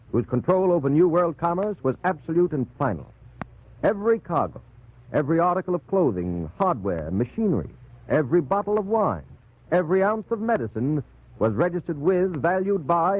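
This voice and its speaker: A man narrating a 1940s radio drama in a solemn, measured, dramatic way. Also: fairly high pitch. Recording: tinny, band-limited 1940s radio recording.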